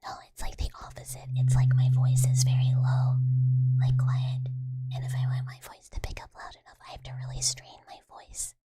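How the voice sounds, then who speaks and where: A woman ASMR streamer softly whispering and rambling while she chats with her live audience about her microphone setup. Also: a bass voice, monotone, moderate pace, heavy background noise. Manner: soft whispering, rambling with many fillers. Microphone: USB desk mic.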